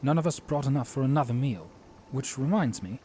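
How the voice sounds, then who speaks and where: A man playing a courteous, watchful young knight commander in an audio drama, speaking formally and earnestly in measured phrases. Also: medium pitch, expressive, darkish tone, slight background noise, close to an everyday voice. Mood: reassuring.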